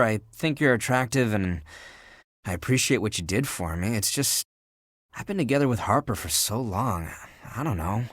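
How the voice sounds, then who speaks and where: Sassy vain talking clothes dude for a game, kinda low, really swoopy delivery, teasing and easily wounded. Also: male voice, no echo, clean studio sound. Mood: guarded, teasing. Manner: sardonic, teasing, expressive. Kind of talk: video game character dialogue.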